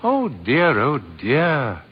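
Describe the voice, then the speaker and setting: An actor playing a kindly, serene old man, genial and gently teasing, in a 1940s radio drama. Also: fairly high pitch, huge pitch swings, very slow, breathy edge, full character voice. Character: kindly, serene old man who believes he's Santa. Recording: dull old radio broadcast recording.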